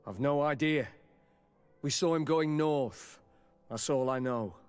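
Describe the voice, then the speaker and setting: An actor playing a deferential, uneasy palace bodyguard in a video game, speaking haltingly and respectfully. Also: male voice, a little high, darkish tone, smooth, faint music behind. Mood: reluctant, confiding. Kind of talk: dramatic video game cutscene acting.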